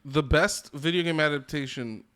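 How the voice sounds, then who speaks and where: A man's voice: fairly high pitch, normal speed, pushed and bright. A man co-hosting a podcast, chatting casually with enthusiasm, plenty of slang and swearing. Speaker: podcast co-host. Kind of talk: casual group chat about video game movies.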